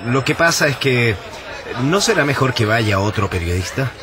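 An actor playing a nervous reporter who is terrified of flying, hesitant and anxious, in a radio drama. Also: male voice, mid-range voice, expressive, normal speed, a crowd in the background, close to an everyday voice. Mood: hesitant, uneasy.

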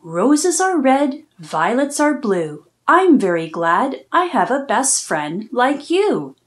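A woman's voice: a little high, very animated, slow. A woman voicing an apologetic, affectionate male viper in a children's storybook, with earnest delivery.

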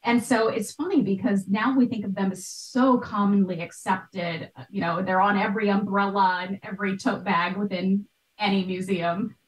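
A woman's voice: medium pitch, steady tone, normal speed. A talkative woman, likely in midlife, explaining things emphatically in a conversational interview over a video call. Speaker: podcast host and art historian, interview guest. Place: small bright room at home. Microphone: computer mic on a video call. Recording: roomy echo, distant mic, video call.

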